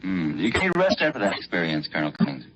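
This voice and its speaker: An American man puts chatty, folksy questions as a 1940s radio interviewer. He talks fast with a lively, swinging pitch. The broadcast sounds dull and dated. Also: a loud crowd. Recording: muffled, slightly noisy 1940s radio.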